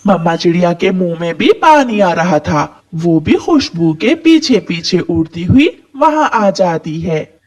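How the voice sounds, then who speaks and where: A woman narrates a children's tale as a storyteller. Her pace is slow and measured, and her pitch moves up and down in an animated way.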